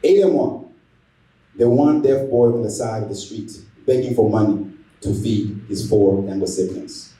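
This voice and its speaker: Very mellow, dark-voiced young male poet. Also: fairly low pitch, steady tone, moderate pace. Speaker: spoken word poet. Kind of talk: spoken word poetry performance. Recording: echoey room, distant microphone.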